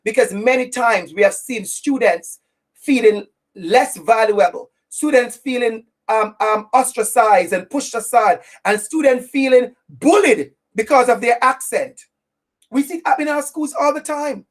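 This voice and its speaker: A Jamaican woman presenting a webinar to teachers, her voice sitting a touch high. She speaks emphatically and leans on deliberate repetition to drive each point home, much like a preacher. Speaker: equity trainer for teachers.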